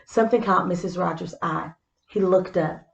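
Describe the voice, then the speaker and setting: A woman's voice: lowish voice, normal speed, vocal fry, echoey room. A woman reading a children's story aloud, with explanatory asides to young listeners. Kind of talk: scripted storybook narration with simple asides.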